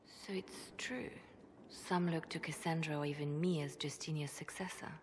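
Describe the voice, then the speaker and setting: A secretive, devout woman, acted by a woman, speaks low and pensively. Long hall reverb surrounds her hushed fantasy game dialogue, with some noise behind. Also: fairly even intonation, normal speed, lightly acted. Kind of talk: dramatic video game dialogue.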